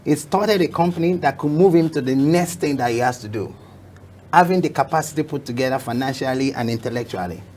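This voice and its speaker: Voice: medium pitch, animated, a little fast, darkish tone, a little hiss or hum. A talk show guest telling an emphatic story, often repeating phrases for effect. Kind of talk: motivational talk show conversation.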